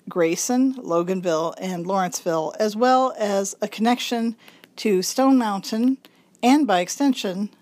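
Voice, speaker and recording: A woman reads a magazine piece aloud in a raspy voice, thickened by congestion. She takes it slowly and carefully, shaping each word clearly for listeners who cannot read the page. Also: medium pitch, darkish tone. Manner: slow, careful enunciation. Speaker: volunteer reader of a local magazine. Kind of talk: reading a magazine article aloud.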